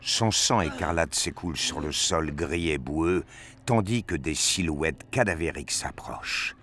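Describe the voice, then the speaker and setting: A man's voice: a bass voice, measured pace, a bit bright, slight background noise. A man narrating a scripted adventure story.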